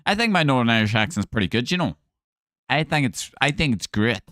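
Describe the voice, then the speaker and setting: A man's voice: mid-range voice, lively intonation, fairly bright tone, dry recording, clean studio sound. A Scottish man chatting live on his stream, rattling off thanks to viewers and cracking jokes. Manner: chatty, thanks viewers rapid-fire, jokey. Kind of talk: casual live-stream chat.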